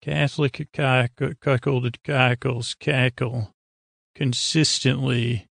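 A man with a gravelly, creaky voice rambling as host of a sleep podcast, halting and stumbling over words as the thought wanders. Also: medium pitch, level tone, a bit bright, no room sound, clean studio sound.

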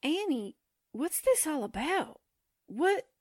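A woman acting as a sentimental young secretary in vintage radio drama style. Her voice is very high and closely recorded with little room sound, naive and gushing in a dreamy way. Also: very animated, slow, dry recording, studio quality, full character voice. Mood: eager, startled.

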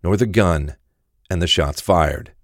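A man's voice: low voice, slow pace, dry recording, clean studio sound. A man narrating a crime thriller audio drama from a script.

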